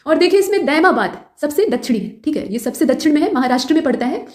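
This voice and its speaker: A woman's voice: sits high, animated, quick pace, a little mellow. A woman teaching exam questions in an explanatory, instructional manner, mixing in English terms, with a roomy echo. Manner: instructional, explanatory, repeats points in English and Hindi. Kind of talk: quiz lesson with explanations.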